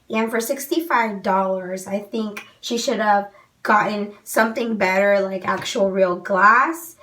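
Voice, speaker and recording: A woman's voice: medium pitch, fairly bright tone, recorded from a distance. Young woman beauty vlogger with a cold and a weak, fading voice; chatty and frank, a little away from the mic. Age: young adult. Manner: chatty, many "um"s, frank opinions. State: losing her voice from a cold. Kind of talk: casual product review to camera. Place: small indoor room.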